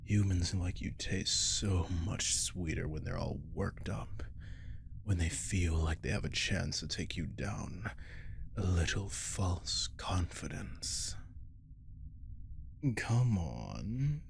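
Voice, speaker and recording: A man's voice: low voice, takes it slowly, vocal fry, darkish tone, slight background noise, full character voice. A man voicing a captive werewolf in an ASMR roleplay, cocky and predatory, with taunting, flirtatious drawls that often drop toward a whisper. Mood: smug taunting. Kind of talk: ASMR roleplay audio drama.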